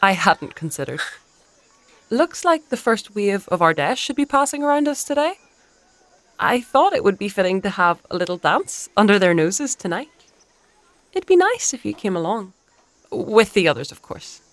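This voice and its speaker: A young female ward-keeper in a dark fantasy audio drama. She sounds wise and composed, with a mid-pitched, expressive voice that moves freely up and down. Her manner is poised and a little coy. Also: normal speed, dry recording. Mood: shyly inviting.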